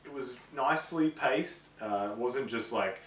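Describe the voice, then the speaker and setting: A man's voice: medium pitch, measured pace, fairly bright tone, slight background noise. A man co-hosting a gaming show, chatting casually and bluntly about his opinions. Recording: roomy echo, distant mic.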